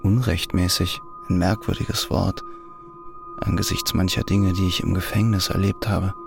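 An actor voicing a tormented prisoner's brooding inner thoughts, slow and introspective, in a radio drama. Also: male voice, low voice, fairly even intonation. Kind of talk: interior monologue in radio drama. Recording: clear music underneath.

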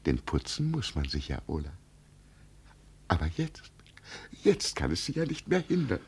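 An actor playing a gentle, deluded old peasant who believes he is an emperor, solemn and dreamy in a 1950 radio play. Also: low-pitched, huge pitch swings, moderate pace, breathy edge, heavily acted. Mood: earnest, wistful. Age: older. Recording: vintage 1950 radio recording.

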